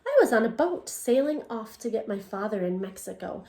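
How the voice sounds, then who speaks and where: A woman's voice: fairly high pitch, sing-song, fairly quick, darkish tone. A woman teacher reading a picture book aloud to young children, first in English and then in Spanish, stopping to ask the class questions. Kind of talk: bilingual read-aloud for children. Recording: echoey room.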